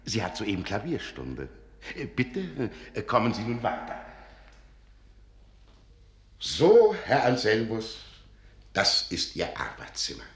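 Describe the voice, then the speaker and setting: An actor playing an eccentric, courteous elder archivist in a radio play, formal and theatrical with a condescending edge. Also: male voice, fairly low pitch, wildly swooping pitch, takes it slowly, long pauses, roomy sound, exaggerated voice. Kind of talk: German radio play acting of a literary classic.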